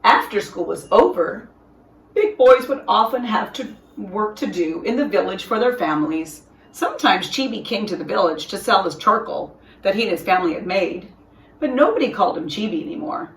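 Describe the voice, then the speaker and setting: A woman reading a children's story aloud in an expressive storyteller's style, with deliberate, dramatic phrasing for young listeners. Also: mid-range voice, a little hiss or hum. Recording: roomy echo, distant from microphone.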